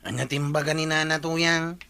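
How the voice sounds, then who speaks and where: A man's voice: a little high, fairly even intonation, normal speed, gravelly, sharp tone, smooth. An actor playing a nagging, exasperated younger man with an Ilocano accent, scolding and complaining in a comedy radio drama.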